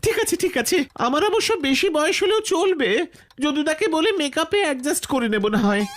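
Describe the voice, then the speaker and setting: A woman's voice: high-pitched, lively intonation, a little fast. A woman playing a coy, playful young woman in a comic cartoon, politely teasing with little exclamations.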